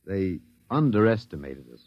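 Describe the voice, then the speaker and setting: A man's voice: sits low, big pitch swings, moderate pace. A man playing a wry, detached academic in an old radio drama, sardonic and musing, with a slightly hesitant delivery. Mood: dry, explanatory. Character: wry, detached male academic, captive of aliens. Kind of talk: 1950s radio drama acting. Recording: dull, dry vintage radio recording.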